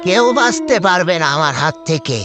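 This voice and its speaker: A menacing cartoon ghost, acted by a man, fairly high in pitch and full of vengeance. The words are drawn out as threats, with loud music behind. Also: sing-song, brisk. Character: menacing vengeful ghost haunting a school, out to kill. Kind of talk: amateur animated horror cartoon dub.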